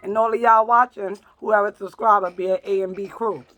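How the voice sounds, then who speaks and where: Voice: medium pitch, fairly even intonation, fairly quick, a bit bright. A vlogger from New York chatting casually while eating, playful and fond of repeating words. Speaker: vlog co-host. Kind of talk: casual mukbang chat.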